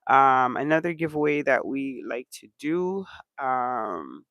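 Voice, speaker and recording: A man's voice: mid-range voice, slow, no echo, studio quality. A man from Canada hosting a casual livestream chat, congested from allergies and rambling along with lots of ums. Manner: relaxed rambling with many ums. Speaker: channel host.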